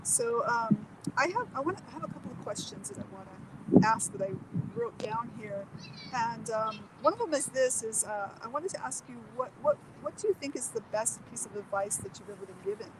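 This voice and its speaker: Woman host for a live street interview. Bubbly and chatty, with a high, lively voice. Loud traffic noise behind her. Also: a little fast. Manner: bubbly, chatty, with many fillers. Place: outdoors by a bay.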